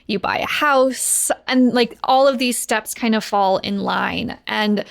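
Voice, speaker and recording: A woman's voice: a little high, slow, creaky. An American woman in her thirties talking conversationally as an interview guest about her work. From Seattle, United States. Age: 30s. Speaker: podcast producer and guest.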